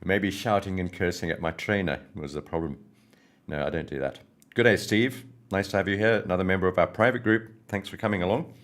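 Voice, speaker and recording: A hoarse man hosting a trading livestream, greeting viewers by name in a friendly, rambling way before going through market news. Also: sits low, fairly quick, fairly bright tone. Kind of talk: casual live-stream chat and market commentary.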